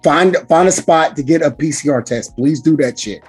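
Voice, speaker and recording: A man hosting a casual podcast chat with a hoarse, raspy voice from screaming, talking loudly and emphatically with lots of swearing. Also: on the high side, normal speed, soft background music. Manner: loud, profane, emphatic. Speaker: podcast host.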